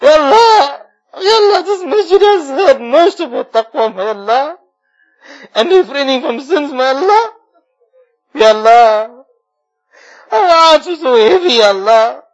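A man leading an impassioned supplication, pleading tearfully and repeating his phrases with deep emotion. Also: expressive, a little slow, long pauses, lo-fi sound, faint music behind. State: tearful, crying. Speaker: prayer leader. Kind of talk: impassioned supplication prayer, public. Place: mosque.

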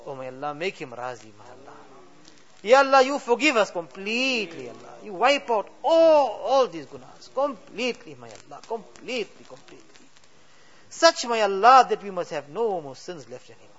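A man with a fairly high voice leads a tearful supplication. He pleads in rising cries and then lets each phrase fall away softly. The recording is dull and lo-fi. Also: very animated, moderate pace. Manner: mixes English with Urdu and Arabic words. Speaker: imam leading a prayer. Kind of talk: emotional supplication.

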